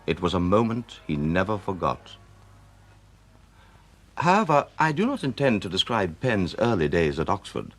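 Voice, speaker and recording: An actor as storyteller, a man with a British accent giving measured literary narration in a radio drama. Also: low-pitched. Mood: lyrical. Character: male storyteller narrating a Victorian novel.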